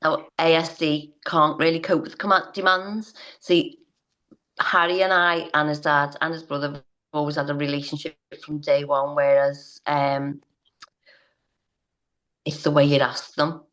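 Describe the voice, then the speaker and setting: A woman's voice: sits low, flat delivery, a little slow, fairly bright tone, rough recording. A woman telling her own story as an interview guest, earnest and hesitant, with many restarts.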